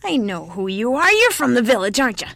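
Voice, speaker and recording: Lady doing a cranky old village granny for a storybook, half deaf, scolding and loud, folksy talk. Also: fairly high pitch, big pitch swings, a little fast, vocal fry, dry recording, voice pushed up, heavily acted. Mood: grumpy, scolding. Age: older. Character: grumpy, exasperated, slightly deaf old peasant woman.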